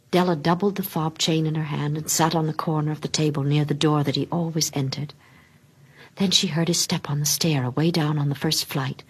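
A woman narrating a literary story expressively, with theatrical pauses and hushed drops in her delivery. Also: low voice, slightly rough. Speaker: story reader.

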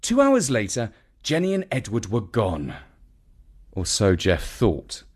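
A man narrating a scripted children's story in a dramatic, expressive manner. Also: on the low side, slow, slightly rough.

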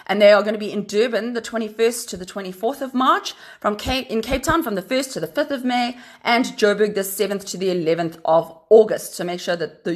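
An enthusiastic South African woman hosts radio in a mid-range voice. She presents and interviews in an upbeat, effusive way. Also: mid-range voice. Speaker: radio show host.

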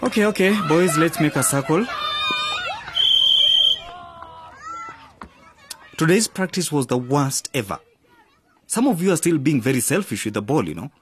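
A man's voice: fairly high pitch, big pitch swings, a little fast, heavy background noise. A man playing a firm, encouraging youth football coach in a radio drama, commanding and instructive. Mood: scolding.

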